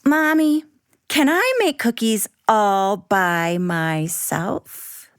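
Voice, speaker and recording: A woman's voice: medium pitch, extremely slow, no room sound, studio quality. A woman voicing an eager, earnest little girl in a children's storybook, with a wide-eyed, sing-song manner. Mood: eager, pleading.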